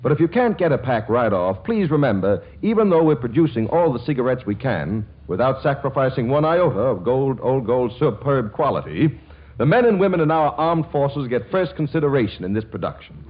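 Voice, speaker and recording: A male announcer from 1940s radio with a theatrical, comic salesman's delivery. His pitch rises and falls dramatically with mock boastfulness. The recording is dull and vintage. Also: big pitch swings, fairly quick.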